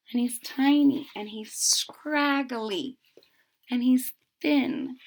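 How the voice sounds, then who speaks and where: A woman reading a picture book aloud to young children, expressive and stopping to explain in simple words. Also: high-pitched, creaky. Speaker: storybook reader.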